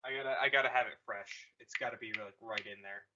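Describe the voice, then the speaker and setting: A young man tells rambling, self-mocking stories while co-hosting a casual podcast. His voice is bright and edged with tension, and the audio is dull and lo-fi. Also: medium pitch, fairly even intonation, quick pace. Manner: rambling, self-deprecating storytelling. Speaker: podcast co-host. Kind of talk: casual chat.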